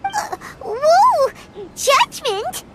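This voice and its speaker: A woman voicing a small, childlike fairy companion in a video game, chatty, excitable and full of exclamations. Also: extremely high pitch, extremely slow, heavy background noise, heavily acted. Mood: nervous. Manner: exclaiming, refers to self in third person. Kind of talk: video game character acting.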